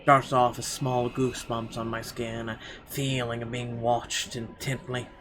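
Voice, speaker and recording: A man's voice: mid-range voice, level tone, a little slow, noisy background. A man reading visual novel narration aloud as a let's player. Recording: game music behind the voice. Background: game music.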